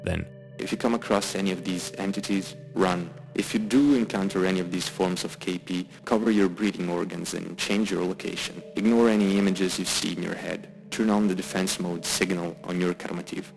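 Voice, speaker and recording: A man's voice: low voice, fairly even intonation, a little fast, gravelly, faint music behind, rough recording. A man giving scripted commentary as the narrator of a horror video essay.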